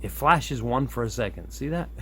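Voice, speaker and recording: Guy doing a casual how-to, thinking out loud, average pitch, bit of room noise. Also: moderate pace. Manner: chuckly, easygoing, thinking aloud. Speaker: hobbyist presenter showing a radio modification. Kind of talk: casual hands-on demo chat. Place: indoors at a workbench. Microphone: camera on a tripod nearby. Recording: steady background noise, room ambience.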